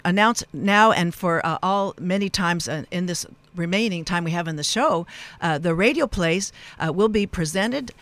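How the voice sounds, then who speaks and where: A woman hosts a live radio show in a chatty, digressive way. Her pitch sits in the middle for a woman, and she halts often as she goes. The studio sound is dry, with very little room around the voice. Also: fairly bright tone, dry recording. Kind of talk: live radio interview hosting. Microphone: studio microphone.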